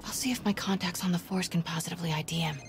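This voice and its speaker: Brisk reporter over noisy ambience. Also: female voice, lowish voice, fairly even intonation, creaky. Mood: businesslike. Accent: American. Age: young adult. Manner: brisk, no-nonsense. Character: determined, brisk young female investigative reporter. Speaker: video game voice actor.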